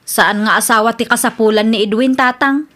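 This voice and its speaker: Woman acting fed up blunt wife in an ilocano radio drama, really high voice, ilocano accent. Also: a little fast, a bit bright, natural voice. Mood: frustrated. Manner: exasperated, blunt. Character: fed-up young widow complaining about her lazy second husband.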